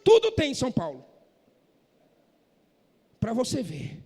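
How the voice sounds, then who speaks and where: A man preaching a sermon in a church, impassioned and rising to shouts, from northeastern Brazil. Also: very high-pitched, huge pitch swings, a little slow, breathy edge. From Northeast Brazil, Maranhão. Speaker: pastor. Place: church hall. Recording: echoey church hall.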